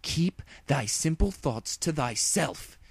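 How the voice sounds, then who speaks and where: A man's voice: a little high, animated, takes it slowly, vocal fry, breathy edge, dry recording, pitched above the performer's own voice, full character voice. A man voicing an imperious, irritable female pony princess who declaims in pompous archaic speech, read from a fan story. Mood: imperious fury.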